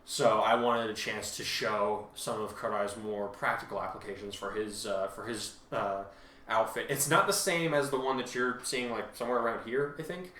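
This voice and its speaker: A man hosting a casual chat about his own writing, halting and rambling as he repeats himself. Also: lowish voice, sharp tone, far from the mic. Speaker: podcast host and fan fiction author. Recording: roomy, echoing home recording.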